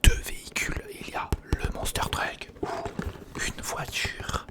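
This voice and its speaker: An ASMR creator narrating an unboxing in a whisper, speaking informally and intimately to the viewer. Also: slow pace. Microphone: sensitive recorder microphone, close.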